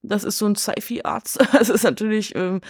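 A woman's voice: mid-range voice, steady tone, moderate pace, breathy edge, no room sound. Chatty woman in a dry recording. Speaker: podcast guest. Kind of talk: casual film discussion.

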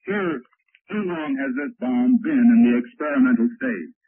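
A man's voice: medium pitch, big pitch swings, moderate pace, breathy edge, no echo. A man working as a military combat reporter, interviewing in a formal, scripted 1940s radio news style on a wire recorder. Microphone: wire recorder microphone. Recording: tinny, dry wire-recorded field broadcast.

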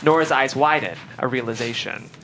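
A woman's voice: a bass voice, moderate pace, fairly bright tone, a little hiss or hum. A woman reading stage directions as scripted narration at a table read.

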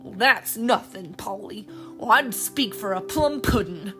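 A woman voicing a restless, greedy boy who complains loudly and drags out his words. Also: medium pitch, expressive, unhurried, music in the background, natural voice. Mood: grumbling.

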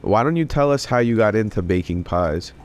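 Low-voiced male host asking questions. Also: fairly quick. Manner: relaxed, asks short direct questions. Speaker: podcast host. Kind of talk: casual interview.

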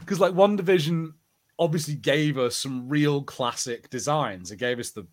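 A man's voice: fairly high pitch, moderate pace. A man co-hosting a podcast, chatting casually about pop culture and slipping into acted-out bits of imagined dialogue. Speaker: podcast co-host.

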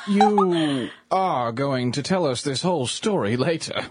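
An actor playing a bluff, genial English lord with a husky voice in a period radio drama. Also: male voice, a little high, expressive, a little slow, heavy background noise. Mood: jovial.

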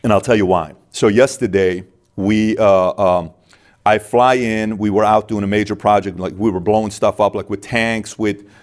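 A man from Iran in his thirties with a fairly low voice, talking candidly as a guest. He is emphatic and hammers points home by repeating them. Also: steady tone, normal speed. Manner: emphatic, repeats lines for stress. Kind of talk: candid interview talk.

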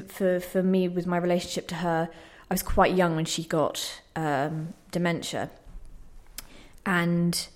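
A woman's voice: fairly low pitch, darkish tone. A young woman giving reflective interview answers in a hesitant, self-deprecating, thoughtful way. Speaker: playwright being interviewed.

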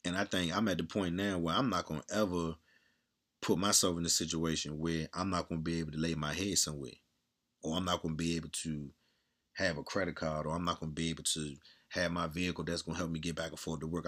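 Man with a strong, loud, very deep voice. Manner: blunt, candid, swears freely, repeats himself. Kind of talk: casual monologue.

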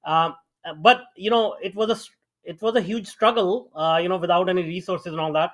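A man's voice: sits high, steady tone, fairly quick, a bit bright. A middle-aged man from India with an Indian accent, a guest telling his life story in a podcast interview, with many fillers and restarts. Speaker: guest, AI founder.

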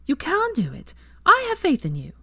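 A woman voicing an eager, bookish young witch, speaking high and gushing with encouragement. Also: huge pitch swings, moderate pace, pushed and bright, no echo, heavily acted. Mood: encouraging.

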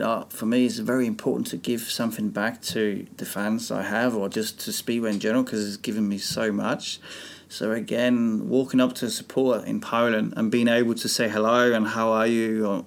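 A man's voice: on the low side, normal speed. A man from Denmark, a native Danish speaker with a blended English and slightly Australian accent, chatting casually and rambling as an interview guest. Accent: blended English with Australian tinge. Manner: relaxed, rambling with restarts. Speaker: speedway rider guest.

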